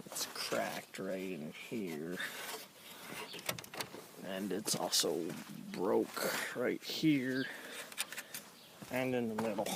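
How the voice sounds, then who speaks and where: Man, gravelly fry, mid-pitched, offhand colloquial chat, show-and-tell, noisy outdoor recording. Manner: low-key, offhand, colloquial. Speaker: vlogger showing his pickup trucks.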